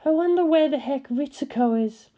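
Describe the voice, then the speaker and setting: A woman's voice: high-pitched, normal speed, warm tone, voice pushed up, natural voice. A woman reading an audiobook, voicing a flustered, complaining grown woman captain. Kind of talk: audiobook character voice.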